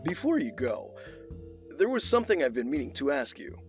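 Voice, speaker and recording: An actor playing a wealthy, guarded, dry-witted man in an audio drama, speaking in terse, measured lines. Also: a little high, sing-song, soft background music. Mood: probing, courteous.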